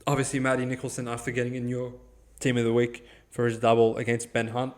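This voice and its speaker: A man's voice: mid-range voice, monotone, fast talker, a little mellow. A man with an Australian accent hosting a sports podcast, talking in casual, blunt, slangy banter with plenty of swearing.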